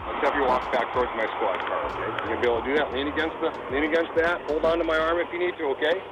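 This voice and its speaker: A male officer talks a driver through a roadside stop in an unhurried, reassuring way. He repeats his instructions gently. The audio comes from a body-worn mic outdoors, buried in loud road noise. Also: a little high, steady tone. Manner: patient, reassuring, repeats himself. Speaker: state trooper. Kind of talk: calm traffic stop instructions.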